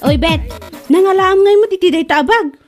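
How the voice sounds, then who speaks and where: A woman's voice: extremely high pitch, a little fast, over loud music. A woman playing a proud, disdainful neighbor in a comedy drama, speaking in a haughty, exclaiming way. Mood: nosy, haughty. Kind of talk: Ilocano comedy drama acting.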